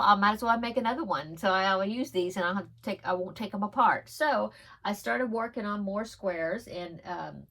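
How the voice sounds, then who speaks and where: A woman's voice: medium pitch, a bit bright. A woman hosting a crochet podcast, chatting casually and giggling between rambling asides, her nose stuffed up on one side. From Texas. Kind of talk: casual show-and-tell chat.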